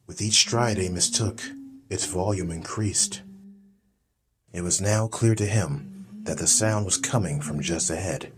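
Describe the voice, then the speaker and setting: A man reading scripted dramatic story narration as an audiobook narrator. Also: sits low. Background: music.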